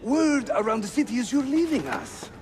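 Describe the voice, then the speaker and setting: A man's voice: extremely high pitch, expressive, normal speed. An actor playing a jovial, loyal Ottoman assassin in a video game, playful and theatrical. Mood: teasing, jovial. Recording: clear music behind the voice.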